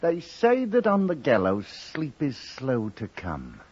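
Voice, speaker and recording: Resigned condemned thief, old radio drama, raspy lowish voice, hugely swooping intonation, muffled sound. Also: male voice, huge pitch swings, slow, darkish tone, heavily acted. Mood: gloomy resignation. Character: doomed male cattle thief, resigned, simple, remorseful drinker. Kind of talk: 1950s radio drama acting. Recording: muffled vintage 1950s radio recording.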